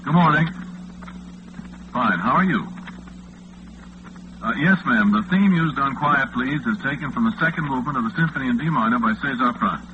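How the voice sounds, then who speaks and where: Lonesome, affable American graveyard-shift disc jockey played by a man, 1940s radio drama, chatty and hesitant, animated pitch, narrow phone-like sound. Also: normal speed, long pauses, natural voice. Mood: friendly, obliging. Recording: muffled, noisy 1940s radio recording, phone-like band.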